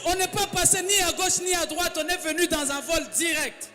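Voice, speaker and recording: A man interprets a sermon line by line in a very high, bright voice, mirroring the preacher's fervour. The sound carries a long hall reverb with faint music underneath. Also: steady tone, a little fast. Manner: echoes the preacher's intensity, shouting along. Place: church hall.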